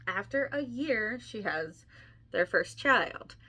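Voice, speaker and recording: A woman's voice: mid-range voice, animated, slow, bright, forceful tone. A woman hosting a podcast casually retells a fairy tale in an informal way, adding wry asides as she goes. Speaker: podcast host and author.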